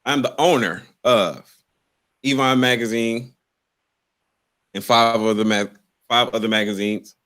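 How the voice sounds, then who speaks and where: A man's voice: medium pitch, measured pace, long pauses, fairly bright tone. A man hosting a live podcast over a video call, talking loosely and repeating himself as he rambles. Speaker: podcast host. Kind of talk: casual live-stream chat.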